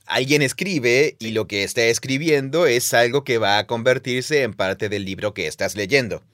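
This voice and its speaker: A man's voice: medium pitch, normal speed, a bit bright, dry recording. A man co-hosting a conversational podcast, explaining Bible stories step by step with playful quiz asides. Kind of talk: casual teaching conversation.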